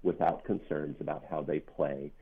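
A middle-aged non-binary author with a deep bass voice, speaking thoughtfully and earnestly in an interview, pausing on ums and restarting sentences. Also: steady tone, slightly rough. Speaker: author guest. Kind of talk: reflective interview talk. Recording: narrow phone-line sound.

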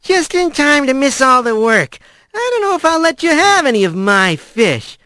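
A man's voice: very high-pitched, very animated, moderate pace, pushed and bright, dry recording, clean studio sound, pitched above the performer's own voice, heavily acted. A man voicing a grumpy, teasing female fisher animal in a storybook reading. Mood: sour. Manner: sour, teasing.

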